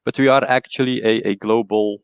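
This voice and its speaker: A man with a West Flemish accent, his first language Dutch, talks about his work in a relaxed, self-deprecating way. His voice has a gravelly, creaky edge. The sound is dry and close, as on a video call. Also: fairly low pitch, a little fast, dry recording, muffled recording. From Belgium. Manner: relaxed, self-deprecating storytelling with many restarts. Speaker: guest, agile coach. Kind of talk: casual interview talk.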